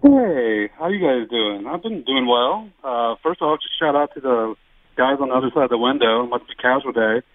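Man, phone-in caller, lively intonation, narrow phone audio, casual chat. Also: mid-range voice, normal speed, no room sound. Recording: tinny phone line.

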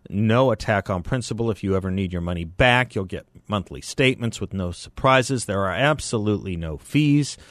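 A man's voice: fairly low pitch, animated, normal speed, few pauses, no room sound. An American man hosting a talk radio show, speaking to listeners in a conversational broadcast style. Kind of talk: live talk radio chat and host-read ads.

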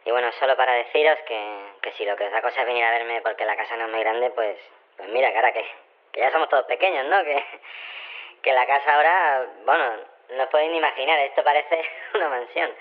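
An actor playing a lonely, hesitant cloning scientist who rambles meekly through a voicemail in an audio drama. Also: male voice, medium pitch, close to an everyday voice. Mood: awkwardly hopeful. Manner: halting, rambling, self-effacing. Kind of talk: voicemail message in an audio fiction. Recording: muffled narrow-band phone voicemail.

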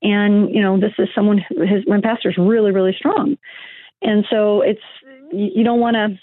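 A woman's voice: medium pitch, fairly even intonation, normal speed, no room sound. A middle-aged woman calls in as an interview guest, and her voice comes through a thin, tinny phone line. The sound is dry, with no room around it. She rambles, stopping often to hunt for words and start over. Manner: rambling, many fillers and restarts. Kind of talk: conversational interview.

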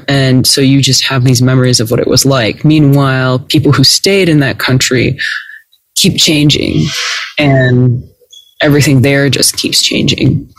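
A woman's voice: very deep voice, no echo, poor audio. Podcast guest, woman, reflective and loosely worded, in a dry room. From United States. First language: Russian. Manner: thoughtful, hedging with "like" and "kind of". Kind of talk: conversational interview. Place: Los Angeles.

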